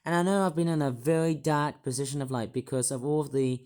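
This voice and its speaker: A man with a hoarse, croaky voice, rambling hesitantly through a personal vlog monologue with many restarts. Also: fairly high pitch, fairly even intonation, quick pace, a little mellow. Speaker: YouTuber.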